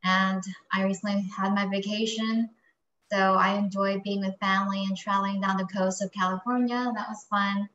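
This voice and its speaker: A woman's voice: mid-range voice, flat delivery, normal speed, a bit bright. Young woman on a muffled, echoey call. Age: young adult. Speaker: family medicine resident.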